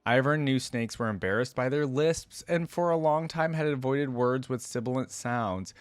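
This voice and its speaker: A man's voice: medium pitch, moderate pace, clear, resonant tone, no room sound, studio quality, soft background music. A man reading a story aloud and commenting on it in a casual, slangy way as a reaction host. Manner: informal, slangy asides. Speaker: host of a game lore reaction video.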